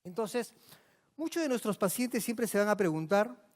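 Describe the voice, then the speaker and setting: A man from Peru delivers a medical lecture in a large hall, his voice a bit higher than most men's and trailing long reverb. He talks emphatically and asks the audience questions. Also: lively intonation, moderate pace. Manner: emphatic, rhetorical questions, addresses audience directly. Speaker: physician lecturer.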